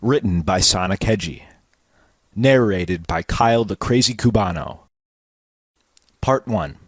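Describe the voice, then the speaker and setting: A man's voice: deep voice, animated, measured pace, slightly rough, dry recording. A man narrating an amateur pirate story as a theatrical dramatic reading. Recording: music playing behind the voice. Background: music.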